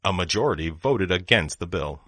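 A man reading short phrases for language learners, saying each one twice in a slow, deliberate way. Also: low-pitched, animated, fairly bright tone, no room sound, studio quality. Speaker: language lesson speaker.

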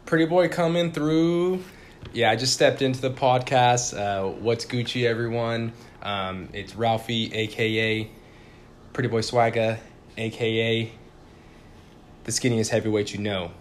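A man's voice: medium pitch, fairly even intonation, measured pace, a little hiss or hum. Brash, slangy podcast co-host man. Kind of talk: casual podcast chat.

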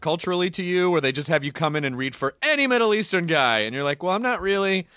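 A man hosting a podcast, asking probing questions in a casual, halting way. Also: high-pitched, animated, sharp tone, clean tone, dry recording, studio quality. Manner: probing questions, stumbling restarts. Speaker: podcast host, interviewer. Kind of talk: casual interview chat.

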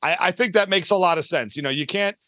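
A man with a high voice speaks as a guest in a casual interview. His tone is bright and forward, and he talks at length, rephrasing as he goes. The sound is dry. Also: fast, no echo. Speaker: guest, audio drama creator.

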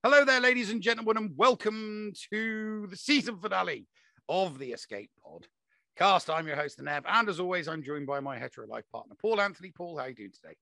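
A man's voice: high voice, animated, fairly quick, vocal fry, bright, forceful tone, dry recording. A man hosting a podcast in a casual, chatty style. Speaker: podcast host.

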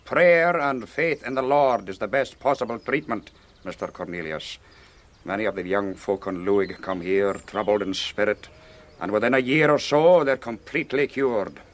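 An actor playing a pious, formally courteous Scottish church minister in a radio drama. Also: male voice, lowish voice, normal speed, natural voice. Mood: grave, pious. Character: pious, patronising Scottish island minister, male.

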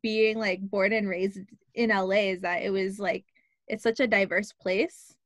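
A woman's voice: mid-range voice, steady tone, unhurried, dry recording. Chatty young woman, dry sound. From Los Angeles. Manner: chatty, many fillers. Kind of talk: casual conversation.

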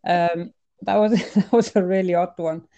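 A woman's voice: on the low side, steady tone, measured pace, mellow, highs cut off. A middle-aged woman from Iceland talking casually as an interview guest, choosing her words in a hesitant, reflective way. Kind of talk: casual conversational interview, personal anecdotes.

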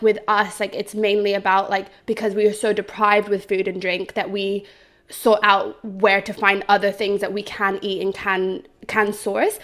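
A woman's voice: mid-range voice, a bit bright. A woman co-hosting a podcast, chatting casually and peppering her questions with "like" and "you know". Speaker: podcast co-host.

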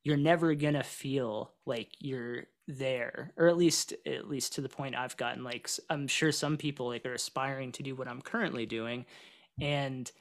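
Young male musician guest, gravelly, rambling, casual home setup. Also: mid-range voice, fairly even intonation, moderate pace. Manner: loose, rambling, lots of fillers and restarts. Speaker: singer-songwriter guest. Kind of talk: casual live-stream interview.

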